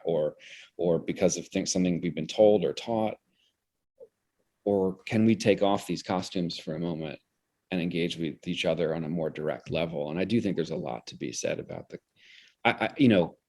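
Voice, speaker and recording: A man with a low-pitched voice talks as an interview guest. He is articulate and intellectual, thinking ideas through aloud and sometimes restarting a phrase as he searches for wording. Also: a little mellow. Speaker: interview guest, theater director. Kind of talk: reflective conversational explanation.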